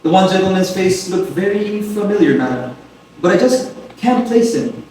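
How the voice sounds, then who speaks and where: A man's voice: high-pitched, very animated, normal speed, a little mellow. Man playing a deferential butler, very echoey room. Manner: formal, deferential reporting. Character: deferential household butler, polite and dutiful. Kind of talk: amateur dramatic reading of a comic stage play. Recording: very echoey room, distant mic, faint noise.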